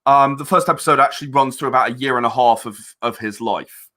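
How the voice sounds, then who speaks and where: A man's voice: medium pitch, fast talker, sharp tone. A man speaking as an interview guest about his own creative project, enthusiastic, effusive and discursive in his explanations.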